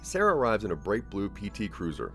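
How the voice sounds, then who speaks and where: Low, expressive male story narrator. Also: brisk, faint music behind. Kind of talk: scripted second-person audio drama narration.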